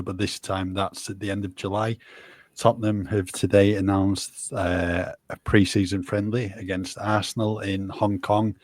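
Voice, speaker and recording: A man's voice: deep voice, dry recording. A man with an informative manner, hosting a casual football podcast. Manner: steady, informative, leads the talk. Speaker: podcast host.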